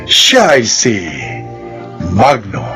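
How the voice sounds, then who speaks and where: A man with a Filipino accent reading scripted introductions and credits as a radio drama announcer, solemn and measured. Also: medium pitch, huge pitch swings. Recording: music bed under voice.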